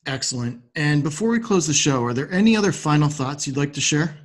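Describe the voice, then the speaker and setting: Male podcast host, slightly high voice, polite and articulate, tidy presenting style. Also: lively intonation, a little fast. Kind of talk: interview hosting with scripted sign-off.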